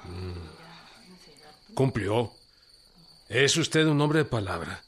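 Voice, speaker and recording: An actor with a Mexican accent playing a dignified, reserved revolutionary general, terse yet gracious, in a radio drama. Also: male voice, medium pitch, very animated. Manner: unhurried, terse.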